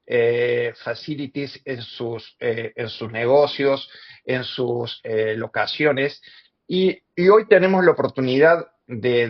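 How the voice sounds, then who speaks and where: A man hosting an interview, introducing his guest with many pauses and fillers. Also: mid-range voice, lo-fi sound. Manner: hesitant, filler-heavy.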